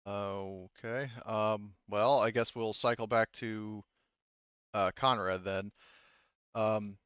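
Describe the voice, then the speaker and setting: A man's voice: on the low side, fairly even intonation, slow, fairly bright tone, smooth, no room sound. A man working as game master, narrating a tabletop game in a casual, hesitant way on slightly dull voice-chat audio.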